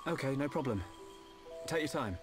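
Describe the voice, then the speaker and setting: A young British game hero, acted by a man, mid-pitched and sincere. He speaks with resolve while music plays clearly behind him. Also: lively intonation, moderate pace, lightly acted. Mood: thoughtful, gentle. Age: young adult. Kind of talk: video game voice acting.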